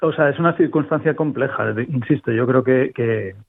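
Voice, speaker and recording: A man's voice: mid-range voice, normal speed, creaky, dry recording. A man from Spain with a Spanish accent, a guest commentator thinking aloud with many restarts on a remote call. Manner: thoughtful, restarts often. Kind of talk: casual interview talk. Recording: phone-like remote line.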